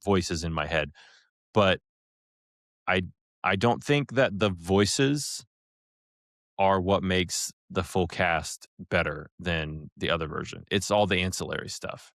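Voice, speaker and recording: A man co-hosting a podcast in casual review discussion, talking in halting bursts with many asides. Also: low voice, a bit bright, no echo, clean studio sound. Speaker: podcast co-host.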